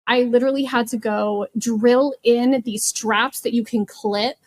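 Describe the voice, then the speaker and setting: A woman's voice: a little high, fairly even intonation, unhurried. A woman podcast panelist telling personal anecdotes in an informal, conversational way. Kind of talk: casual chat, personal anecdotes.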